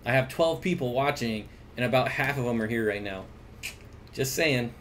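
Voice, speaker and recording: A man's voice: mid-range voice, moderate pace, a bit bright, slight background noise. Chatty man hosting a fish livestream. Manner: chatty, reads viewer comments aloud, jokey asides. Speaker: aquarium livestream host. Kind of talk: casual livestream chat reading comments. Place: home room with aquariums. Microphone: desk mic at computer.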